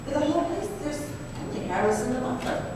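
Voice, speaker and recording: A woman's voice: high-pitched, very animated, fairly quick. A woman playing a naive, anxious, wealthy young wife who confides hesitantly in an old-time radio drama. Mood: puzzled, earnest. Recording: noisy, echoey hall from far off.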